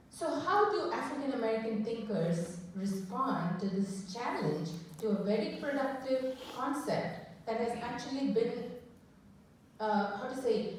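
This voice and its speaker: A woman's voice: medium pitch, a little mellow, recorded from a distance, slight background noise. Woman lecturing in an echoey hall. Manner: measured, thoughtful lecturing with rhetorical questions. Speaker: panelist. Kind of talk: academic conference talk. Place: conference hall. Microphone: microphone that cut out mid-talk.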